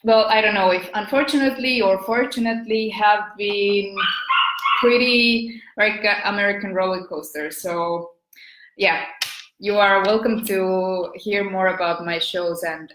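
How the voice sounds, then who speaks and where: Estonian-accented woman coach, slow and halting, roomy echo on the voice. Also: medium pitch, fairly bright tone. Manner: hesitant, many fillers and restarts. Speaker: business coach hosting a live show. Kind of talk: live video talk.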